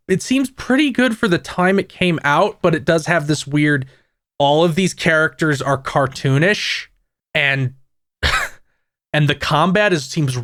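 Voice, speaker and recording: A man with a fairly high voice chats about games on a podcast, rambling along with plenty of restarts and asides. The sound is dry and close, with no room around it. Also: fairly bright tone, no echo. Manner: rambling, many restarts and fillers, quick asides. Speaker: podcast co-host. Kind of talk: casual gaming podcast chat.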